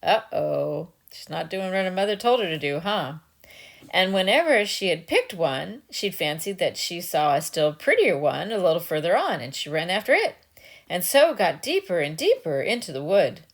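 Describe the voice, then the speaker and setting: Very animated woman storyteller. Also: fairly low pitch, moderate pace, gravelly. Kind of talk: dramatic storybook narration with casual asides.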